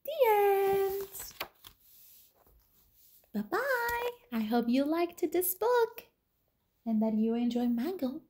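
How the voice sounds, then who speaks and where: A young woman, a toddler teacher, reads a picture book to young children in a deliberate, sing-song way. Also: extremely high pitch, sing-song, a little mellow. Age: young adult. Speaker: toddler teacher reading aloud. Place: small living room, indoors on a couch.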